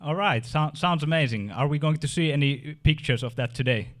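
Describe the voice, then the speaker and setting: A man's voice: on the high side, brisk, fairly bright tone. A man hosting a game industry meetup, introducing the speaker with many restarts and fillers. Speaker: meetup host.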